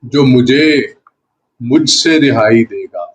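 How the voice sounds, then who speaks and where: A man, a poet, reciting ghazal verse in a deliberate manner, repeating lines for emphasis. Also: mid-range voice, lively intonation, low-quality recording. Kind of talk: Urdu ghazal poetry recitation. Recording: clear background noise.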